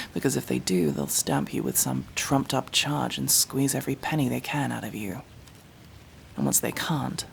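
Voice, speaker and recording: A woman playing a gruff, guarded, world-weary elf in a fantasy ASMR roleplay, curt and bossy with hushed delivery. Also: extremely low pitch, exaggerated voice. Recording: faint background noise with ambience.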